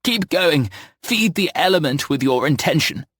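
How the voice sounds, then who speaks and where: A man's voice: a little high, lively intonation, normal speed, fairly bright tone, slightly rough, dry recording, studio quality, voice pushed up. A man reading aloud and voicing a kindly, motherly older village witch who speaks in an encouraging way.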